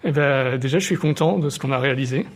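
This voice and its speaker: A man answering informally, a little tired, haltingly searching for words. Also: mid-range voice. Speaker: workshop participant reading a novel aloud, then interviewed. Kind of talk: hesitant informal interview answers. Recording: noticeable background noise, room ambience.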